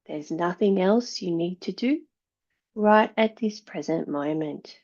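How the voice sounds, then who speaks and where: A woman yoga teacher with a lowish voice guides a meditation at an unhurried pace. Her delivery is calm and soothing, leaving long pauses between short phrases. The recording is a little dull and muffled.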